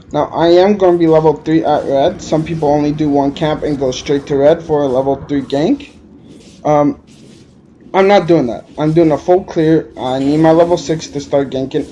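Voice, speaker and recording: A man's voice: fairly high pitch, warm tone, lo-fi sound, slight background noise. Rambling man with a Quebec-tinged accent. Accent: Quebec French-tinged English. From Alberta, Canada. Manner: rambling, hesitant, lots of uh fillers. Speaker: gamer. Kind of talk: gameplay commentary.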